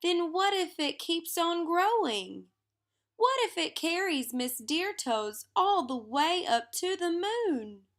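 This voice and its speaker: Little girl storybook character, acted by a woman, eager, imaginative, gushing, very high, bright voice. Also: takes it slowly, heavily acted. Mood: excited, reassuring. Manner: excitable, gushing. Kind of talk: children's storybook character reading.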